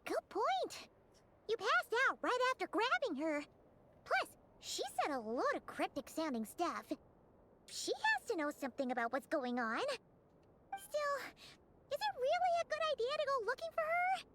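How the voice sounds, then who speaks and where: A tiny childlike game sidekick, squeaky and extremely high. The delivery swings up and down with excitable, caring concern. There is clear noise in the stream audio. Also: female voice, a little slow, exaggerated voice. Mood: thoughtful, worried. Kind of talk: English anime-style game voice acting.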